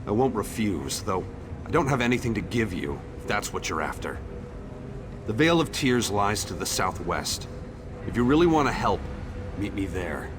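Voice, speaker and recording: Defiant, embittered younger man, acted by a man: low register, very mobile intonation, blunt, fantasy game. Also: big pitch swings, moderate pace, noisy background. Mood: sardonic. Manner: blunt, scornful. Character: bitter, defiant younger man, determined skeptic. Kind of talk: AI-voiced fantasy game dialogue.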